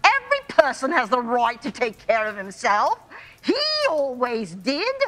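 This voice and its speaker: A woman playing a gleeful, callous old female scavenger in a theatrical radio play, shrill and cackling. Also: very animated, a little slow. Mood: gleeful, scornful.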